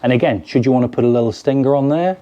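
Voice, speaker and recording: Mellow British man showing fishing tackle. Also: mid-range voice, racing, muffled recording. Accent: British English. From United Kingdom. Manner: chatty, hands-on, explains while fiddling with lures. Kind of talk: casual product demonstration to camera. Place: garden cabin or shed, indoors.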